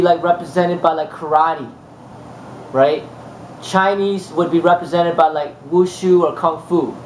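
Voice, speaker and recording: A man's voice: sits high, reverberant, slight background noise, poor audio. A man from the United States, a martial arts instructor talking casually in a rambling way, with many fillers and restarts. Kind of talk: casual monologue.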